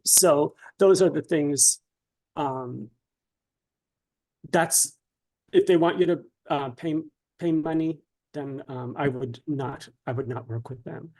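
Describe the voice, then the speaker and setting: A man speaks as a guest writer in an interview, his voice pitched a little above average. He explains step by step in a hesitant, measured manner, informal but instructive. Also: warm tone, low-quality recording.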